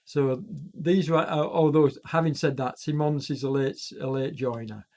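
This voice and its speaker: A man hosts live commentary on an online cycling race while fighting a chest cold, so his voice sounds congested. His pitch sits in a middle register. He rambles, fills gaps with ums, and corrects himself mid-sentence. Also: steady tone, normal speed, a little mellow. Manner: rambling, self-correcting, many fillers. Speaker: e-racing commentator and streamer.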